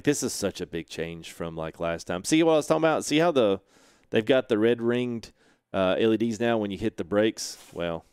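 A man's voice: fairly low pitch, clear, resonant tone, dry recording. A man in his thirties hosting a casual gameplay livestream from a home sim rig, chatting loosely, laughing often and greeting viewers through a headset mic. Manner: laid-back, chatty, laughs often, reads chat aloud. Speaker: streamer.

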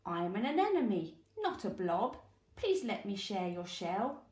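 A woman's voice: mid-range voice, sing-song, a little slow, darkish tone, roomy sound. Woman as a proud, touchy storybook sea anemone. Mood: pleading.

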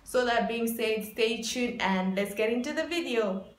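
A woman's voice: on the high side, a little slow, slight background noise. Young woman vlogging in an echoey room. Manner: chatty, repetitive emphasis with frequent "like". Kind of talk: casual vlog narration. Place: small indoor room with bare walls. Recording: roomy echo.

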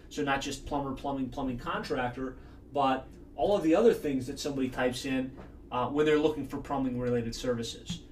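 A man's voice: medium pitch, recorded from a distance, a little hiss or hum. Confident man explaining in an echoey office. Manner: brisk and confident, explaining step by step. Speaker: marketing consultant. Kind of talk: screen-share tutorial. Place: small office, indoors. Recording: echoey room, voice a bit off-mic.

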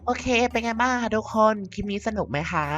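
A woman's voice: fairly high pitch, lively intonation, quick pace, over music. A woman channel host giving a friendly, casual sign-off to her video. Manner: bright, friendly outro chatter.

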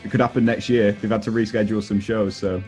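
Low-pitched young man hosting a casual interview. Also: level tone, fast, a little mellow, faint music behind. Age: young adult. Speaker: radio show host, interviewer. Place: indoors at home, on a video call. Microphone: headset.

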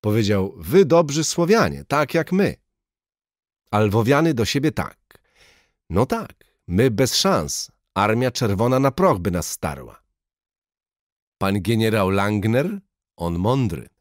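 A man's voice: fairly low pitch, very animated, slow, long pauses, no echo, clean studio sound, voice pushed up. Man doing a sneering Soviet officer bellowing in broken Polish. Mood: shouting contempt. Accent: Russian-inflected broken Polish. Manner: shouting, mocking, menacing. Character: brutal male Soviet NKVD major, sneering.

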